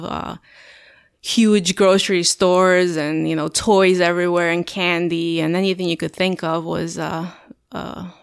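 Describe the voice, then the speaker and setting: A woman from Romania, in her thirties, tells her story with a low, flat, gravelly voice. She speaks hesitantly, full of ums, and the sound is dry and close. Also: no room sound. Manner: hesitant, many ums and uhs. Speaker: guest, former first responder. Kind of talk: interview, personal story. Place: home.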